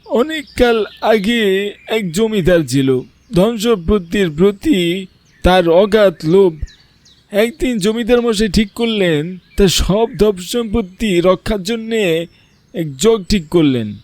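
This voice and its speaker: A man voicing a very old village grandfather in a cartoon, recalling an old tale in a drawn-out elder's manner. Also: sits high, lively intonation, a little mellow, dry recording. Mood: grave, reminiscing. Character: oldest village grandfather, reminiscing storyteller. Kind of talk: Bengali cartoon story dialogue.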